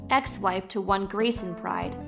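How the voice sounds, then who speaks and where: An actress playing a young, caring, tech-savvy sidekick in an audio drama, matter-of-fact and analytical. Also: female voice, mid-range voice, measured pace, creaky, music in the background.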